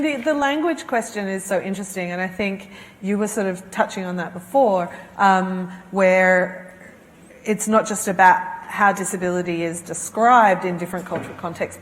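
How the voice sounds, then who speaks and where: A woman with a lowish voice moderates a panel, attentive and affirming as she frames her questions. Her voice rings with long reverb from the hall. She speaks into a handheld microphone. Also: moderate pace. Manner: attentive, frequent affirming murmurs.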